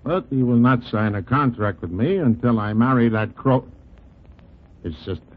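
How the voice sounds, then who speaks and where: An actor playing a scrappy, plain-spoken New York boxing manager with a husky voice in a 1940s radio drama. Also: male voice, medium pitch, moderate pace, natural voice. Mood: matter-of-fact. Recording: muffled 1940s radio recording.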